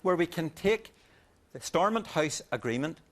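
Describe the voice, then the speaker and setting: A man making a measured case as a panel guest in a political debate. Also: on the high side, expressive. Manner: measured, insistent on finishing.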